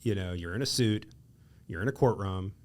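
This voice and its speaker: A man with a fairly low voice that still rings bright and nasal. He talks in a loose, animated way, hosting a relaxed conversation and briefly acting out other people's lines. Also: moderate pace. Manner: animated, acts out quoted voices. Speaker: podcast host, lawyer. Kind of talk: casual chat.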